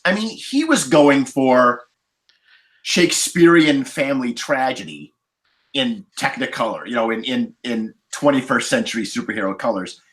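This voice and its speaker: A man in a casual roundtable discussion, laying out his points as a podcast panelist in deliberate, repeated phrases. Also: medium pitch, animated, a bit bright. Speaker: podcast panelist reviewing films.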